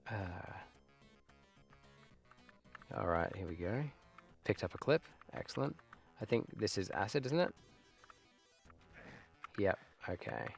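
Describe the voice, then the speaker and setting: Deep, gravelly man in his thirties. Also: animated, a little slow, long pauses, fairly bright tone, soft background music. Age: 30s. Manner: nostalgic, rambling, with muttered asides. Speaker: livestreamer playing games. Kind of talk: casual live gameplay commentary.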